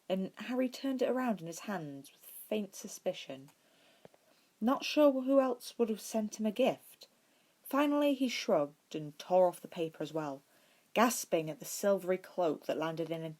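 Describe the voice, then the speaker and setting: A woman narrating a story from a script in an expressive way, as an amateur audiobook reader. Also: fairly low pitch, normal speed. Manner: acts out dialogue with expression.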